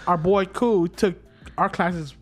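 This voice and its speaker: A young man co-hosting a casual podcast, excitable and slangy, joking with friends. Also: high voice, slow, soft background music. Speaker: podcast co-host. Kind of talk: casual chat among friends.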